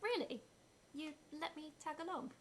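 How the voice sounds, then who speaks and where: A woman's voice: high voice, moderate pace. An actress playing a timid, grateful young woman clerk, earnest and polite.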